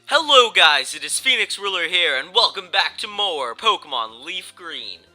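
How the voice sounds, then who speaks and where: Young man, stuffy nose, very bright voice, rambling Let's Play commentary. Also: high voice, very animated, normal speed. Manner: rambling, self-correcting, lots of ums. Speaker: gaming YouTuber. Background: game music.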